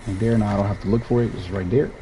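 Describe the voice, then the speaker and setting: A man's voice: fairly low pitch, steady tone, rapid-fire, creaky, dark tone, slight background noise, low-quality recording. A young man from Puerto Rico with a Puerto Rican Spanish accent, a native Spanish speaker chatting easily through his gameplay commentary and often restarting his sentences. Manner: easygoing, rambling, restarts sentences. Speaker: streamer.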